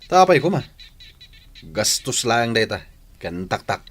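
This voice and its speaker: Actor as a animated, domineering young husband. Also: male voice, on the low side, unhurried. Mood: jealous, accusing. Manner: demanding, accusing. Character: jealous, domineering young husband, suspicious and scolding. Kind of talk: radio drama acting.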